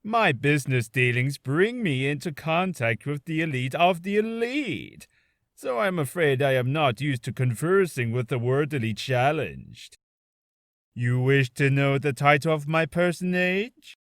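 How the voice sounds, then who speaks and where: A vain male tycoon, a comic video game villain. He is grandiose and condescending, slow-paced with a lively, slightly high pitch. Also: dry recording, clean studio sound, full character voice. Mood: smug. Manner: grandiose, condescending, over-wordy.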